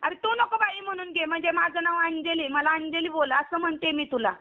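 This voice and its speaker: A woman's voice: extremely high pitch, steady tone, a little fast. A woman argues on a phone call. She is scolding and confrontational, pressing her questions again and again. The recording is dull and lo-fi, as from a recorded call. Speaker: online video performer receiving a prank call. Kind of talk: heated phone argument.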